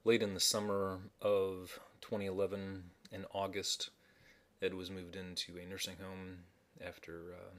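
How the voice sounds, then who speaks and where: A man's voice: sits low, a bit bright. A man narrating informally as he introduces archived messages, in a hesitant, matter-of-fact way.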